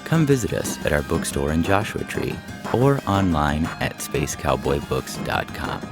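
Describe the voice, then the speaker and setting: A man's voice: a bass voice, big pitch swings, unhurried, music in the background. A man narrating a scripted science fiction story as a podcast host, reading in an audiobook style.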